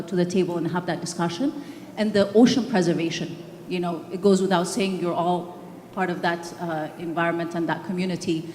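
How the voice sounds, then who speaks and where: A woman's voice: medium pitch, darkish tone, a little hiss or hum. A middle-aged woman from Ethiopia speaking earnestly on a conference panel, with many fillers and restarts. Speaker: panelist, games organizer. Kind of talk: panel discussion. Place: stage at a conference hall. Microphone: handheld mic. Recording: echoey hall, faint ambience.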